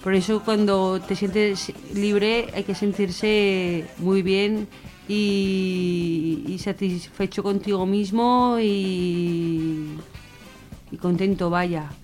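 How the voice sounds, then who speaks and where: Mid-pitched Spanish woman in radio discussion. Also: fairly even intonation, extremely slow, a little mellow. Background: music behind the voice.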